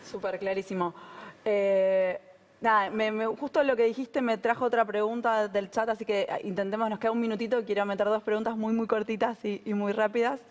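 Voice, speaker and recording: A woman's voice: mid-range voice, steady tone, fairly quick. Upbeat woman moderating a panel. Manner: upbeat, reading audience questions. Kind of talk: moderating a conference Q&A.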